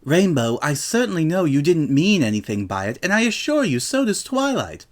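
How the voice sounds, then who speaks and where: A man's voice: fairly high pitch, very animated, moderate pace. A man voicing a refined female unicorn lady with prim, formal, precise phrasing in a storybook reading. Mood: soothing, genteel.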